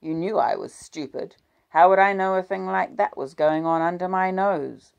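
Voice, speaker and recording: A woman's voice: on the low side, unhurried, gravelly, darkish tone, highs cut off, voice pushed up. A woman voicing a wounded, bitter husband who growls and turns sarcastic.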